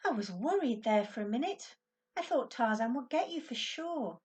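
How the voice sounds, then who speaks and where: Woman voicing an excitable, high-pitched anxious young boy. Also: moderate pace, recorded from a distance, natural voice. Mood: worried. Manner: eager, urgent exclamations and questions.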